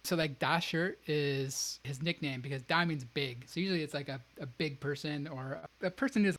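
A man's voice: a little high, moderate pace, a bit bright, slight background noise. A man co-hosting a casual podcast, explaining ideas in a chatty way with plenty of fillers. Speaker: podcast co-host. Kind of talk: casual book discussion.

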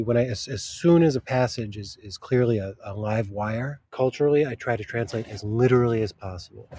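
A man's voice: fairly low pitch, mellow, slightly rough. A man who works as a literary translator, talking in a casual interview, thoughtful and often searching for the right words mid-sentence.

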